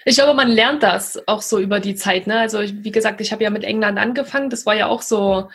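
A woman in her thirties from Saxony-Anhalt, Germany, calmly recounting her life as a guest in a casual conversation. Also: mid-range voice, gravelly, breathy edge. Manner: reflective, measured storytelling.

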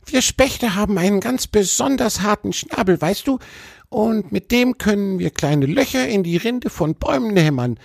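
Happy little bird for a kids story, high squeaky creaky voice, super chatty, dry close sound. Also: male voice, animated, moderate pace, no echo, heavily acted. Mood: cheerful, explaining. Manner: colloquial, bubbly, chatty. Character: cheerful little male woodpecker, chatty and friendly traveler. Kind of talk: children's storybook character voice.

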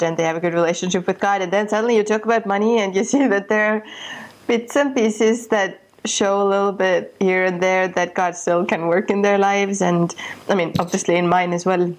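A middle-aged woman from Estonia, a missionary guest speaking earnestly and thoughtfully in a podcast interview, often restarting her sentences as she searches for words. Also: on the low side.

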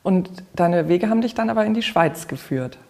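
An interviewer speaking in an articulate, measured way, as in an arts feature. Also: medium pitch, lively intonation, vocal fry, a little mellow. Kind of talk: arts interview with scripted introduction.

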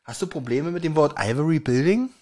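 A man's voice: a little high, expressive, fairly quick, darkish tone. A man co-hosting a podcast in casual chat, teasing his partner and laughing often. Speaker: podcast co-host. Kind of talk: casual chat recapping a kids' audio drama.

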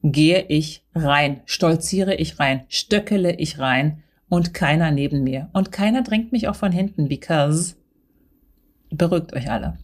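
A woman with a Berlin accent giving a brash, slangy comic monologue to a friend, mixing in English phrases and playing with theatrical swings in her voice. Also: a little slow. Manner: playful, theatrical pitch swings.

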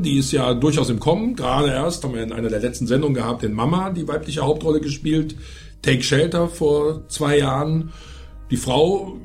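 A man's voice: mid-range voice, a little mellow. A man hosting a film review show, talking conversationally with opinionated asides. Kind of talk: casual film review commentary.

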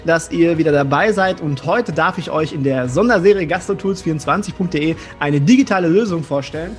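A man hosting a sponsored podcast on hospitality, talking in an upbeat, colloquial way. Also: on the high side. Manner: upbeat, colloquial, uses casual emphasis. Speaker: podcast host.

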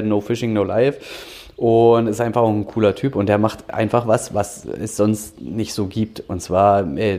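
A man's voice: fairly low pitch, mellow. A man from Berlin in his thirties, an angler talking casually as a podcast guest, upbeat and a bit rambling, laughing often. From Germany, Berlin.

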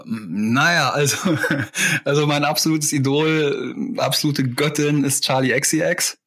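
A man's voice: a little high, measured pace, a bit bright. A man, a musician, chatting loosely in an interview, rambling with many fillers and restarts. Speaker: musician guest. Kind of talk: casual interview chat.

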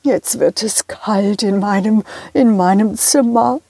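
Woman putting on a slow old male bookworm. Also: medium pitch, darkish tone, breathy edge, dry recording. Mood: fretful.

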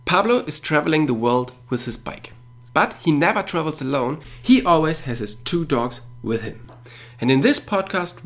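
A man's voice: on the high side, a bit bright. A man hosting a podcast interview, asking friendly questions with fillers and repeated words. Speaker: podcast host.

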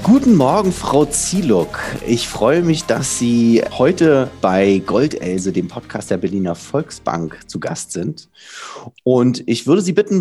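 A man hosting a podcast, reading an intro and asking questions in an emphatic, lively way. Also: mid-range voice, husky, no echo. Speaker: podcast host. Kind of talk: podcast interview and scripted intro.

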